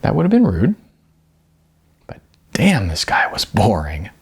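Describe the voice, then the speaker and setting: A man reading aloud from his own novel in an unhurried, expressive storytelling manner, with wide swings in pitch. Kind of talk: audiobook-style novel reading.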